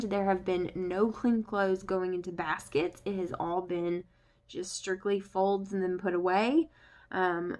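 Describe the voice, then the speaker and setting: Chatty woman getting over the flu. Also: medium pitch. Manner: chatty, rambling with many fillers. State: congested from flu. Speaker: family vlogger. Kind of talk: casual chat to camera.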